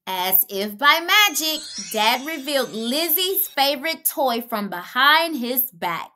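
A woman reads a children's story aloud with a bright, high voice. Her delivery is slow and expressive, as scripted narration meant for young listeners. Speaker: children's book reader.

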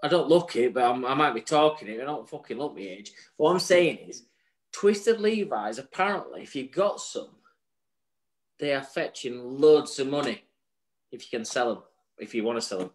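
A man's voice: mid-range voice, lively intonation, normal speed. A man, co-hosting a casual chat show over a video call, jokey and chatty, with the odd swear word. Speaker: co-host. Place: home room with virtual bar backdrop. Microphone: video call webcam.

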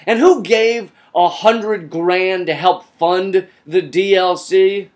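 A high-voiced American man hosts a comedy rant to camera. He speaks with biting sarcasm and heavy emphasis, like someone delivering a satirical monologue. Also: takes it slowly. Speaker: host of a satirical political comedy web show.

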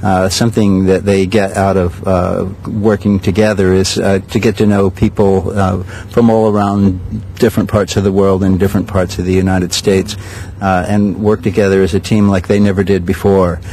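Low, flat-voiced older man. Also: a little hiss or hum, low-quality recording. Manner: measured, many "uh" pauses. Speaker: sailing school director. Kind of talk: outdoor interview answers. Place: outdoors at a regatta.

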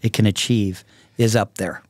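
A man in his sixties speaking casually and reflectively as a podcast guest, in a halting, thoughtful way. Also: fairly low pitch, steady tone, no room sound, clean studio sound. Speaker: podcast guest, artist and songwriter. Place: small podcast studio with curtains. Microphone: close podcast microphone on a stand.

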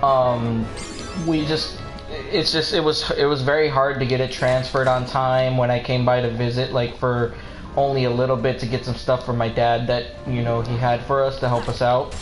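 A man's voice: medium pitch, flat delivery, normal speed. A man livestreaming a video game, chatting casually in rambling anecdotes with the odd swear word. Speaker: game streamer. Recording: roomy echo with game music behind.

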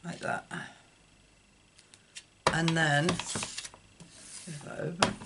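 Older lady doing a card craft how-to, really unhurried, bit of noise and clicking behind her. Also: low voice, fairly even intonation. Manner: halting, thinking aloud as she works. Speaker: craft tutorial host. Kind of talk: unscripted card-making demonstration. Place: indoors at a craft table. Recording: clear background noise, clicks.

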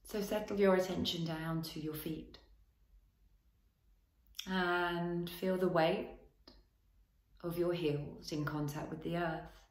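A woman leading a guided body scan as a relaxation teacher, giving gentle instructions in short phrases with long pauses. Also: lowish voice, steady tone, reverberant, rough recording.

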